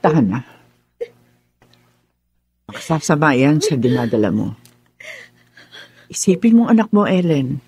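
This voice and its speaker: Casting: patient Filipina mother, mellow and husky, expressive swings, consoling radio drama delivery. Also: low-pitched, measured pace, pauses often, no room sound, full character voice. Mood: consoling sorrow. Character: gentle, self-sacrificing older mother, consoling and patient. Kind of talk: Filipino radio melodrama acting.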